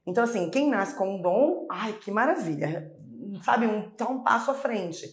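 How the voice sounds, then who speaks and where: A middle-aged woman, a mother being interviewed, talks warmly and animatedly, acting out quoted voices as she tells her story. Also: mid-range voice, animated, normal speed. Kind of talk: conversational interview. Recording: echoey room.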